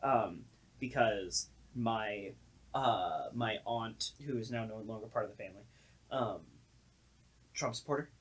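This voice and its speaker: A woman's voice: very deep voice, measured pace, slightly rough. A woman hosting a casual chat with a dry, joking manner. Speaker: host.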